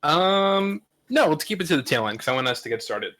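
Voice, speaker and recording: A man with a bright, forceful voice chats in a playful, joking way. He sounds casual and at home in the online group. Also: fairly high pitch, very animated, a little fast. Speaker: co-leader of online film group.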